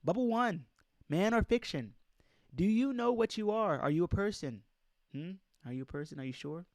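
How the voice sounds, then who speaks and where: A man's voice: high voice, lively intonation, moderate pace, no echo. A man reading aloud in an informal lecture style, speaking emphatically and putting questions straight to his listeners. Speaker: presenter reading a document aloud and commenting.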